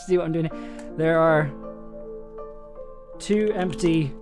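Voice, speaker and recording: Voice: medium pitch, slow pace. A streamer thinking aloud casually while writing a story live, in a playful, musing, giggly manner. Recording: music behind the voice. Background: music and computer keyboard typing.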